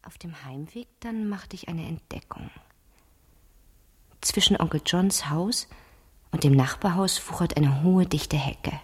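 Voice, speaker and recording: An inquisitive teenage girl thinking through a diary in a radio play. The voice is soft, whispery and lowish, full of air. It sounds private and musing. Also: measured pace, long pauses, full character voice. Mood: hushed, musing. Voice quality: breathy, whispery. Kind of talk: hushed radio drama narration.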